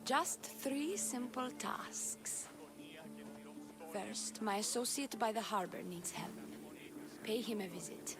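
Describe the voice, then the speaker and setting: A woman playing a composed, flirtatious priestess in a video game, giving measured instructions. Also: fairly high pitch, lively intonation. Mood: businesslike. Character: priestess and plotter, younger sister, composed and flirtatious. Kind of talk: scripted video game dialogue. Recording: loud music behind the voice.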